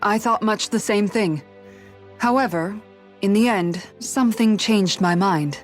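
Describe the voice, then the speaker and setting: An actress playing an earnest, resolute young woman guard in a video game cutscene, speaking in a measured, formal, sincere way. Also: mid-range voice, soft background music, close to an everyday voice. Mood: regretful, reflective.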